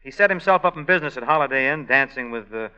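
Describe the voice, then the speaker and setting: A breezy lovestruck crooner innkeeper. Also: male voice, mid-range voice, smooth. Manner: relaxed, fast conversational storytelling. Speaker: lead actor. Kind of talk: 1940s radio acting. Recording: dull lo-fi 1940s radio recording. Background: orchestra music.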